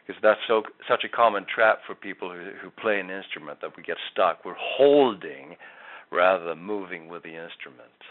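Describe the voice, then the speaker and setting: A man's voice: low-pitched, normal speed. A man giving a one-on-one singing lesson, explaining step by step in a patient way. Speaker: voice coach. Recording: tinny call line, faint hiss.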